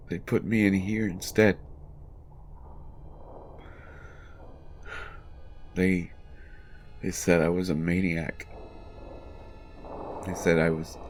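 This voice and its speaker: A man playing a distraught, haunted writer in prison, telling his horror story in a halting, stammering first-person monologue. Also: low voice, a little mellow, slight background noise. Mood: anguished, resigned. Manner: halting, stammering, repeating words. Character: haunted, distraught male writer jailed for his son's death.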